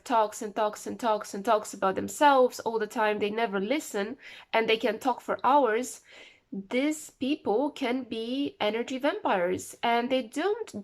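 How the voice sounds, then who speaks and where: Woman vlogging with the flu. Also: fairly high pitch. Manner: rambling, repeats words for emphasis. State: sick with flu, voice a bit off. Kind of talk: casual talk to camera.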